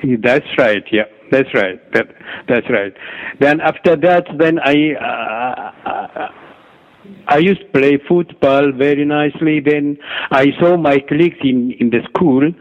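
A middle-aged Ethiopian-accented man speaks very slowly and haltingly, repeating himself as he feels for each phrase. His voice comes through a dull, lo-fi radio recording. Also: medium pitch. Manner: halting, repeats and restarts words. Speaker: guest author and doctor. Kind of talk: interview answers.

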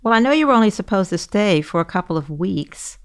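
A woman's voice: mid-range voice, expressive, fairly quick. A woman hosting a news podcast, narrating from a script and putting questions to a guest.

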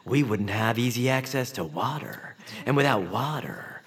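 Self-important storybook creature, excitable, theatrical, very animated pitch, husky edge, family audio drama. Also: male voice, moderate pace. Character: Noah, excitable, vain male puppet-like creature full of himself. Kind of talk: family audio fiction acting.

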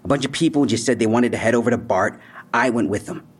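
Husky young man acted, defensive street bravado. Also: mid-range voice, fast talker, close to an everyday voice. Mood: cocky, casual. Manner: slangy, posturing, evasive. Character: defensive young robbery suspect, tough talk hiding fear. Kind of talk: naturalistic audio drama acting.

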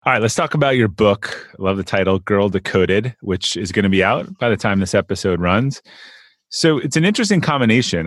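A man's voice: low-pitched, dry recording. A man hosting an interview, musing aloud and repeating words as he thinks. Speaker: podcast host. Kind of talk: casual interview conversation.

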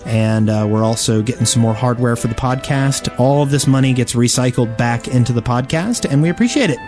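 A man with a medium-pitched voice co-hosts a podcast. He talks in a casual, conversational way, as part of a group chat. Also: brisk, music in the background. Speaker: podcast co-host.

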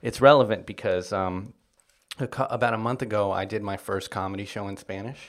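Lively, wisecracking man with a low voice. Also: brisk. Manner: animated storyteller, wry jokes, laughs often. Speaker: comedian guest. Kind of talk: casual podcast chat.

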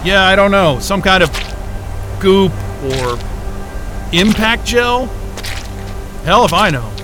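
A sweary gutsy explorer in a sci-fi audio drama, kinda high voice, wry and hesitant, music behind. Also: male voice, animated, takes it slowly. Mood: curious, strained. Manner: casual, profane, muttering and hesitant. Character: wry, foul-mouthed, gutsy male explorer, caring toward companions.

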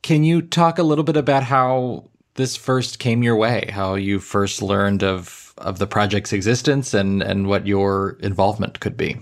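A man's voice: on the low side, steady tone, a little slow, few pauses. A man interviewing an actor, chatty and restarting his sentences as he goes. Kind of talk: interview and podcast chat.

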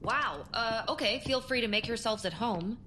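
A woman's voice: on the high side, lively intonation, moderate pace, pushed and bright, slight background noise. An actress playing a tough, defiant ex-Marine woman with a dry, assertive way of talking in an audio drama. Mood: wry, commanding.

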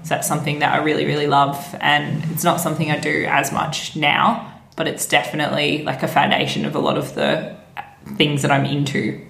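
A woman's voice: deep voice, level tone, brisk, vocal fry, a bit bright. A woman from Perth, born in Scotland, chatting casually as an interview guest. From born in Scotland, grew up in Perth, Australia from age seven. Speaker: interview guest, tour operator. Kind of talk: casual chat. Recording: echoey room, faint background ambience.

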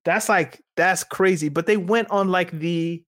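Animated man rambling about sport. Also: sits high, measured pace. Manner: rambling, animated, many restarts and fillers. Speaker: podcast co-host. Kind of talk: casual sports chat.

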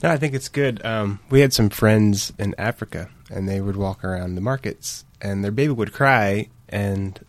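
A man in his late thirties, a podcast guest, speaking in an earnest, animated way. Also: lowish voice, moderate pace, few pauses, no room sound. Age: 30s. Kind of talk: casual panel discussion.

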